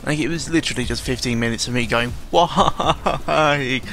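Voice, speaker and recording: A young man giving casual, unscripted commentary in a rambling, halting way, often interrupting himself, with a sarcastic, self-deprecating and exasperated manner. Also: mid-range voice, fairly bright tone, slight background noise. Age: young adult. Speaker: YouTuber recording an audio log. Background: background music.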